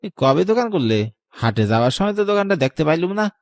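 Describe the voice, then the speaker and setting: A man's voice: a little high, sing-song, quick pace, no echo. Guy doing tipsy village bloke, rural bengali dialect, cocky rambling horror cartoon voice, dry sound. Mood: puzzled, cheeky. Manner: rambling, suspicious, cheeky. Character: tipsy, cocky village man carrying fish home.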